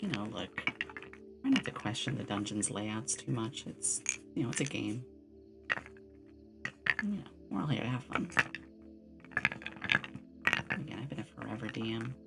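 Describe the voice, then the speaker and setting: Very low, creaky-voiced woman streamer over music. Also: expressive, normal speed, pauses often. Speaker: streamer and game master. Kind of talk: casual ASMR livestream chat. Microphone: close binaural ASMR microphone.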